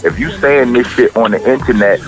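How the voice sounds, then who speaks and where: A middle-aged man with a deep voice, a rapper talking casually in an interview, rambling and profane, with many restarts. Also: brisk, faint music behind.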